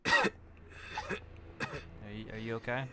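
A man's voice: medium pitch, wildly swooping pitch, slow pace, heavy background noise. A man playing a rash young fire wizard student, stammering and babbling in an improvised game roleplay. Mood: nervous.

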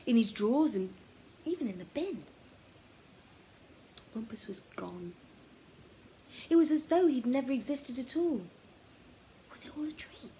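Expressive young woman reading a children's story. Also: fairly high pitch, rough recording. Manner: expressive, theatrical, often hushed. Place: small attic room at home. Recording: noticeable background noise, home recording.

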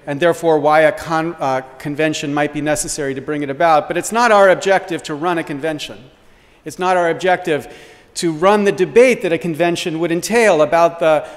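American man lecturing in a reverberant hall. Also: on the high side. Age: middle-aged. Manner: measured, deliberate, gestures while speaking at a lectern. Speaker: law professor giving opening remarks. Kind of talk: formal conference address. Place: wood-panelled lecture hall. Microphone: podium microphone.

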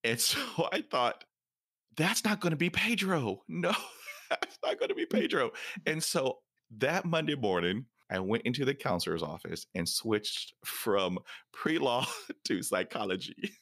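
A man's voice: medium pitch, sing-song, measured pace, a bit bright, no echo, clean studio sound. A man who stutters, hosting a podcast interview and telling stories animatedly with laughter. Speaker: podcast host.

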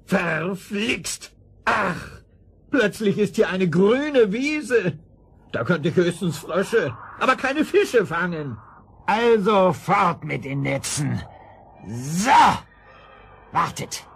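A blustering old sorcerer in a children's radio play, high-pitched and swooping. He drags his words out theatrically, vengeful and gloating, on a noisy vintage record. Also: creaky, a bit bright, exaggerated voice. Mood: vexed. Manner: theatrical, exclaiming, drawn-out cackling.

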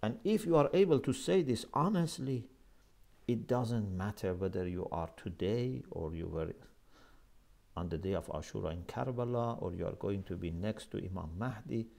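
A man's voice: on the low side, expressive, moderate pace, creaky, darkish tone. A middle-aged man gives a religious lecture in an earnest, emphatic manner, speaking into a lapel mic in a small office. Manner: earnest, emphatic, gestures with his hands. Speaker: Islamic scholar lecturing.

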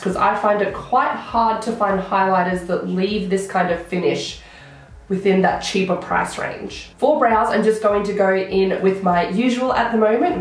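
Woman, croaky voice, young adult, makeup vlog chat, very echoey room. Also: mid-range voice. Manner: chatty, enthusiastic product talk. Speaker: beauty vlogger. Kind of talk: casual makeup tutorial chat. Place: small room indoors.

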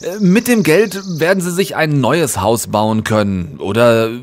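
A man's voice: mid-range voice, a little slow, lightly acted. A man playing an awkward, impatient young corporate negotiator in an audio drama, polite but stammering and pressing. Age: young adult. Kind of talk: German audio drama acting.

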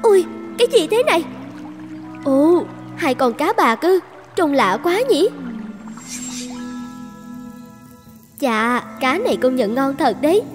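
Casting: wronged cartoon maiden, high lamenting voice, young, light music underneath. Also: female voice, big pitch swings, a little slow. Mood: surprised. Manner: plaintive, lamenting. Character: wronged, sorrowful young daughter and new mother. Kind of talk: animated fairy-tale dubbing.

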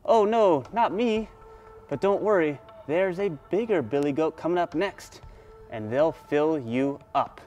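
A man's voice: fairly high pitch, expressive, a little slow, a little mellow, soft background music. A man voicing a small, timid young billy goat, pleading, in a children's story.

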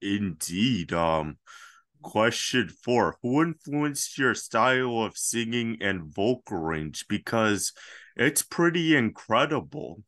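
Young man, lowish bright voice, slow hesitant questions, dry close sound. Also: sing-song, no echo. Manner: hesitant, many fillers. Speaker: interviewer. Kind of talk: reading questions on video call.

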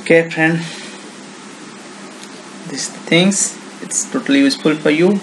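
A man with an Indian accent giving an informal, unscripted software tutorial in halting English with non-native phrasing. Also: on the high side, a little hiss or hum, low-quality recording. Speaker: software demonstrator.

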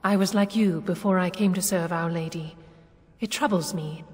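An actress playing a timid, kindly temple sister in a game, hesitant and apologetic. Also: female voice, fairly low pitch, fairly even intonation, moderate pace, lightly acted. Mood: anxious, sympathetic. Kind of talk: dramatic game dialogue. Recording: long echoing hall reverb.

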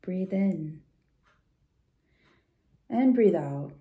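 A woman leading a guided visualization in a soft, gentle, soothing way, unhurried with pauses and at times breathy. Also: on the low side, lively intonation. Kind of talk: guided meditation, soft slow instruction.

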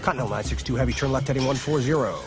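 An actor with an American accent playing a cocky air traffic controller in a film, rattling off clipped instructions. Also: male voice, medium pitch, racing, close to an everyday voice. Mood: brisk. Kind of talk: film acting. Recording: loud music over film dialogue.

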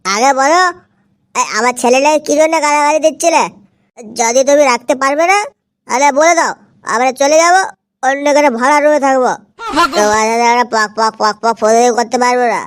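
Very high-pitched, belligerent cartoon father voiced by a woman. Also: fairly quick, smooth, close to an everyday voice. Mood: defiant, quarrelsome. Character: hard-drinking, broke, belligerent village father. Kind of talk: comedy cartoon dialogue.

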